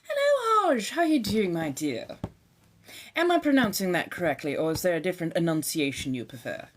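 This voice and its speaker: A woman with a lowish voice and a put-on colonial transatlantic lilt from the 1700s, chatting on a live stream. She talks theatrically, picks ornate words and calls her viewers darling. Faint noise and soft clicks sit behind her. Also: sing-song, normal speed. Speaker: live streamer in a vampire persona.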